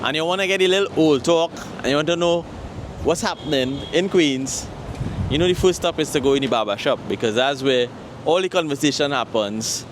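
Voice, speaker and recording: A man's voice: fairly high pitch, brisk, noisy background. A man with a Trinidadian accent hosting a street interview show, upbeat as he introduces guests and asks questions. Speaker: host. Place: city street, then inside a barbershop.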